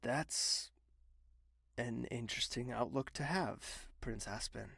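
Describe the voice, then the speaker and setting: An actor playing a stressed young male royal who speaks in a halting, guarded way, recorded at home. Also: mid-range voice, creaky, a bit bright, slightly rough, no echo, studio quality, exaggerated voice. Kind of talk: audio drama acting.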